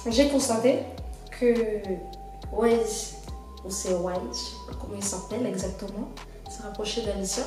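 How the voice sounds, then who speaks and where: A woman's voice: medium pitch, normal speed, mellow. Gossiping woman in an echoey room. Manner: gossipy, casual slang. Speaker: reality show contestant. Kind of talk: confessional to camera. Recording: echoey room, from far off, music behind.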